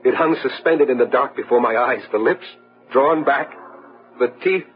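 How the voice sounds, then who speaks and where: An actor playing a haunted, overwrought widower in an old-fashioned gothic radio drama, speaking haltingly and repeating himself. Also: male voice, mid-range voice, natural voice. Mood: haunted, horrified. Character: haunted, nerve-shattered widower in gothic horror. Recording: muffled radio drama recording, music behind.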